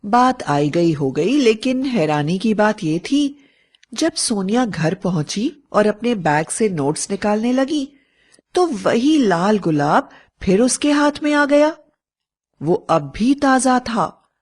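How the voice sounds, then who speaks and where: धीमी गति में कहानी सुनाती महिला. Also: female voice, medium pitch, very animated, slow, darkish tone. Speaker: storyteller. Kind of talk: scripted fairy-tale narration.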